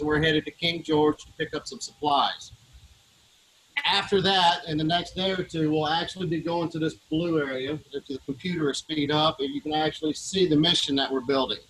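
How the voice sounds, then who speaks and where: A man with a Southern US accent, a team leader explaining his work to schoolchildren in a relaxed way with many fillers, aboard a ship. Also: fairly high pitch, poor audio. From Louisiana, USA. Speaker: underwater vehicle team leader. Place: operations room on a ship.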